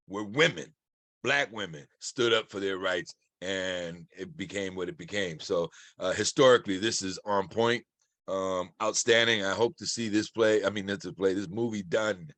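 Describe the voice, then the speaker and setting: Low-voiced American man, very dry sound. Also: moderate pace, a bit bright, dry recording. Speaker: actor. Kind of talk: informal praise and comment at a Q&A.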